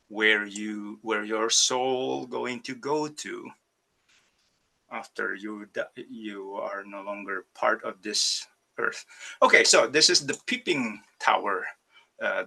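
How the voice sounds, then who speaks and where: A man's voice: lowish voice, creaky, bright, forceful tone, rough recording. A man from Indonesia, an artist, giving a hesitant online talk about his work over a video call, with many fillers and restarts. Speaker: ceramic artist. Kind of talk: virtual artist talk presenting slides.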